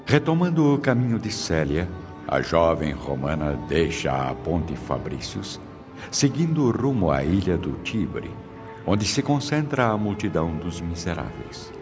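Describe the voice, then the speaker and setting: Narrator for radio drama: man, very low register, formal and dramatic, muffled recording over clear music. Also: sing-song, measured pace. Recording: dull lo-fi audio with music behind.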